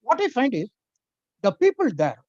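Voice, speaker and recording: An older speaker with an Indian accent, whose first language is Tamil, lectures over a video call in an earnest, explanatory way. The voice is mellow and swings widely in pitch. The audio is dry and a little muffled. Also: wildly swooping pitch, moderate pace, no room sound. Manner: earnest, explanatory, lists points one by one. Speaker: invited speaker on education policy. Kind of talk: webinar lecture.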